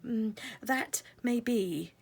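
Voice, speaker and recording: A woman plays a regal fairy queen bee from a children's storybook. Her voice is soft and a little husky, with a measured, stern authority. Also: fairly high pitch, pitched above the performer's own voice, full character voice.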